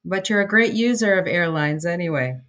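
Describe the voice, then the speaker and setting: A woman hosting an interview podcast, asking her guest clear, measured questions. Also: lowish voice, animated, fairly bright tone. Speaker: podcast host.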